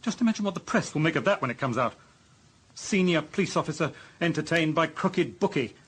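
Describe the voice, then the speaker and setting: British deputy police chief, husky, fairly high-pitched, authoritative, exasperated, sarcastic, radio drama reprimand. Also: male voice, lively intonation, a little fast, fairly bright tone. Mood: angry, scathing. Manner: stern, sarcastic, clipped official reprimands.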